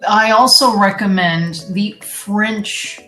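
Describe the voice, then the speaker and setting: Canadian woman listing cities she loves, roomy echo, soft music. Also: medium pitch, slow. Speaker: interviewee. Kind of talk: casual recommendations. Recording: echoey room.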